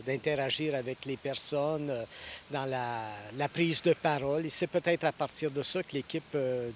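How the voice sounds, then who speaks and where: A man in his sixties from Ontario, a priest answering interview questions thoughtfully, pausing and restarting often. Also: medium pitch, a little hiss or hum. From Ontario, Canada. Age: 60s. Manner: thoughtful, with frequent hesitations and restarts. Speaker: priest and guest preacher.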